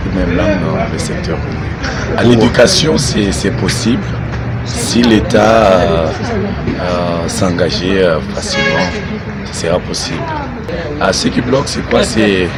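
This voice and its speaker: A man giving a hesitant, argumentative interview answer. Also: low-pitched. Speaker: conference participant. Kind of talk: street interview.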